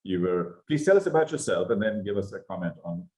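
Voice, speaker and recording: A man moderating a roundtable panel, introducing the guests in a halting way with many fillers. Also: deep voice, sing-song, warm tone.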